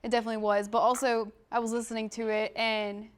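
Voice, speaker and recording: Woman sports co-host, higher voice, informal conversational talk peppered with "like". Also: level tone, quick pace, smooth. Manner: informal, often says "like". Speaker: sports podcast co-host, commentator.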